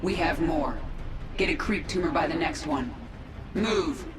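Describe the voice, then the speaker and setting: A woman's voice: low-pitched, unhurried. A ruthless queen of an alien swarm in a sci-fi game. She speaks with menace and command. The voice echoes, set back from the mic over music. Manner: imperious, menacing. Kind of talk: scripted sci-fi game voice acting. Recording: echoey, distant, music underneath.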